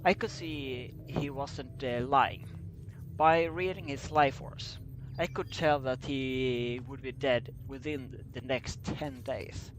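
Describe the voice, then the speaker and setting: A man reads a story aloud in a mid-pitched voice. His delivery is slow and halting, and he trips over words like an amateur reader. Some background noise sits behind him. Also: fairly even intonation. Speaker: amateur fan fiction reader. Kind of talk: scripted story narration, read aloud.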